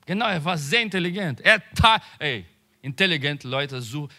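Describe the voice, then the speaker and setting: A man preaching in a high, bright, forceful voice that swoops through a wide range. He delivers a sermon slowly and emphatically, drops into quieter asides, and often pauses mid-phrase to search for a word. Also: lively intonation. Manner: halting, searches for words. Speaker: pastor.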